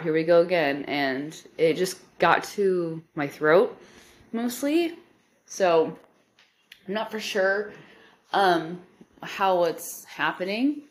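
A woman in her thirties from the Midwest, hoarse and stuffed up from a cold, giving a rambling casual update to her podcast listeners with lots of ums. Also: on the low side. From Nebraska, US Midwest. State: hoarse, congested. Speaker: podcast host.

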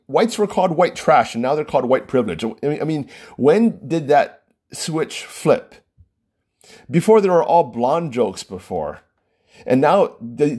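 A man delivering a one-person opinion commentary. His pitch swings widely as he talks, and his voice sits on the mellow, slightly husky side. He often restarts phrases and keeps asking the listener "right?". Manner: lively pitch swings, frequent "right?" and "you know", self-interrupting restarts.

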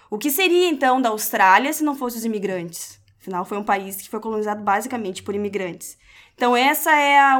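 An upbeat woman, her voice sitting slightly high. She hosts with a welcoming tone and keeps the questions coming. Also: fairly bright tone. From southern Brazil. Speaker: podcast co-host. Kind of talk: podcast hosting.

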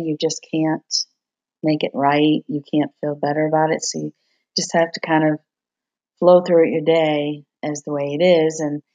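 Hoarse woman, low voice, candid reflective monologue, dull lo-fi home computer recording. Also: a little mellow. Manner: open, reflective, confiding. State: hoarse, losing her voice. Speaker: podcast host, singer-songwriter. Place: her home.

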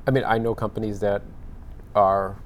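A man's voice: fairly low pitch, level tone, moderate pace, a little hiss or hum. A man hosting a panel discussion, asking long searching questions in a conversational way. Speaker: podcast host.

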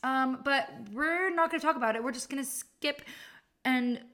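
A woman's voice: high voice, normal speed, pushed and bright. A woman in her twenties hosting her own podcast, chatting casually and self-mockingly with frequent laughs and fillers. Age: 20s. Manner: chatty, self-mocking, laughs often, many likes and ums. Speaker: podcast host. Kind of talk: casual solo podcast chat.

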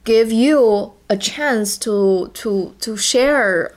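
A woman's voice: on the high side, drawn-out words. A woman with a Chinese accent, English not her first language, co-hosting a casual podcast and often restarting words as she searches for phrasing. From China. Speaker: podcast co-host.

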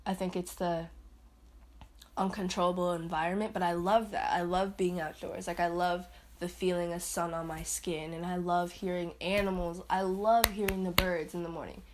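A young woman talks loosely through a casual solo podcast, her voice sitting a little low. She rambles and laughs at herself, and now and then she jumps up into a higher playful voice. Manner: swings into high put-on voices. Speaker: podcast host.

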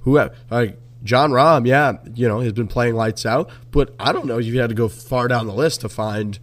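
A man hosts a sports podcast in an easy, animated way, swearing as he talks. His voice sits at a middle pitch for a man. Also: normal speed. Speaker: podcast host. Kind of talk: casual sports chat.

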